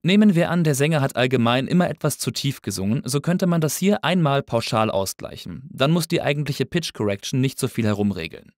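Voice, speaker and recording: A man narrating a scripted tutorial as an instructor, explaining things clearly and methodically. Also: mid-range voice, animated, brisk, few pauses, dry recording, studio quality. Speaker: audio engineering instructor.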